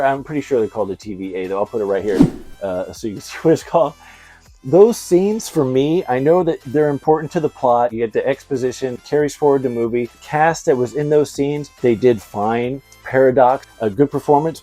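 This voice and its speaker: A man's voice: medium pitch, mellow. A middle-aged man hosting a casual movie review, talking in a slangy, effusive and emphatic fan's way. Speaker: video host, movie reviewer.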